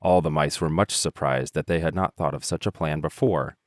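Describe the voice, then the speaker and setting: A man narrating fables in an expressive, measured storytelling style. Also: a bass voice, no echo, clean studio sound.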